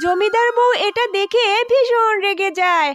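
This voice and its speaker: A woman's voice: very high-pitched, a little slow, very noisy. A woman narrating a scripted children's cartoon story as its storyteller.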